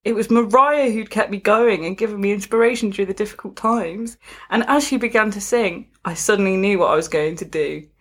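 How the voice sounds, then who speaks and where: A woman's voice: mid-range voice, a little fast. A woman reading a story aloud in a casual, amused way, giggly and breaking off to comment like a podcast host.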